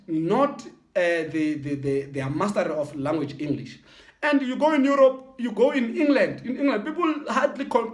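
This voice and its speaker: A South African man from the Eastern Cape, a football channel host, speaking in a casual reflective monologue with halting restarts and repeated words. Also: high-pitched. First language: Xhosa and Sotho mix. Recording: echoey room.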